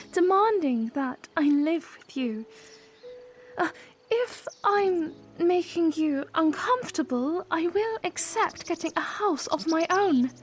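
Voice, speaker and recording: A woman playing a shy, earnest young princess in a fan audio drama, speaking politely and haltingly. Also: very high voice, a little hiss or hum, full character voice. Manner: hesitant, halting, polite formal phrasing.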